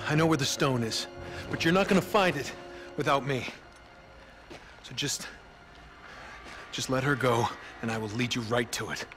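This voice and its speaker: A man's voice: mid-range voice, moderate pace, music in the background, close to an everyday voice. An actor playing a scrappy treasure hunter in his thirties in a video game, talking in a casual but urgent, defiant way. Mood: urgent bargaining. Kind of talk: video game cutscene acting.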